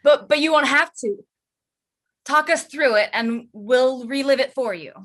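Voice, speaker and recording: A woman's voice: sits high, a little slow, fairly bright tone. A woman playing a hesitant but practical, supportive woman in a staged reading of a play. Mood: tentative.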